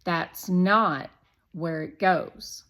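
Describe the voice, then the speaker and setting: A woman's voice: fairly low pitch, very slow, a bit bright, exaggerated voice. A woman voicing a bookish, particular young boy in a storybook, grumbling and pointed, often drawing words out. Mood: irritated. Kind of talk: children's storybook read-aloud.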